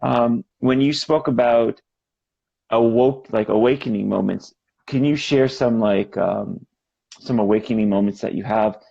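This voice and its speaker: A man hosting an interview podcast, chatting casually with plenty of fillers and quick affirmations. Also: mid-range voice, creaky, dark tone, highs cut off. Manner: conversational, loose, with many fillers and quick affirmations. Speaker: podcast host.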